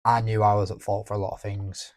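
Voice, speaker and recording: Young male podcaster; low, monotone, dry-recorded, halting confessional talk. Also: no room sound. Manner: halting, reflective, many restarts. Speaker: podcast co-host. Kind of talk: candid emotional conversation.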